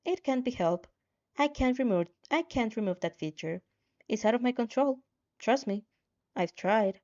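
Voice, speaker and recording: A woman's voice: fairly high pitch, animated, normal speed, darkish tone, dull audio, natural voice. A woman voicing a controlling but casual game-like system, matter-of-fact and slightly teasing in a fan fiction reading. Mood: resigned.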